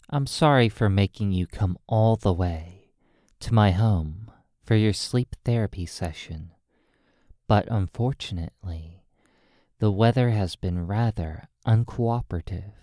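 An ASMR hypnosis roleplay by a sleep therapist speaking in a hushed, soothing manner, in drawn-out phrases with long pauses. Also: low voice, dry recording, clean studio sound.

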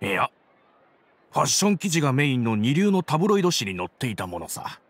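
Wry, wary businessman, lively pitch. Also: fast, no room sound. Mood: cautious. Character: wry, wary businessman, long-time trading partner. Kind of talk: Japanese anime game voice acting.

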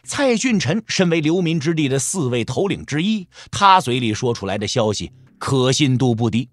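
A man's voice: medium pitch, sing-song, normal speed, no room sound. A man narrating an audio drama in a dramatic, scripted storytelling style. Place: dry studio.

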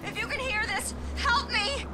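High pitched urgent tv reporter lady in a game, loud music behind. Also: moderate pace, a loud crowd. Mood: panicked. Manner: urgent, articulate broadcast delivery. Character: determined female TV news reporter under fire. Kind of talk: video game voice acting.

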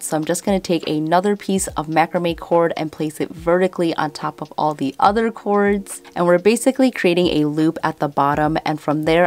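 A woman's voice: low-pitched, darkish tone. A woman in her twenties with a Chicago accent, chatting casually as she explains a DIY craft tutorial step by step. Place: indoors, room with houseplants.

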